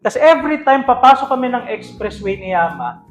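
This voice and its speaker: A man's voice: very high-pitched, sing-song, moderate pace, faint music behind. A man with a Filipino accent telling stories casually as a podcast co-host, mixing in English.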